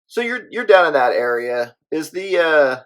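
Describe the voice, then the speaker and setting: An American man chatting casually in a low-key, hesitant way as he explains things. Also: big pitch swings, normal speed. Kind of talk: casual CB radio chat.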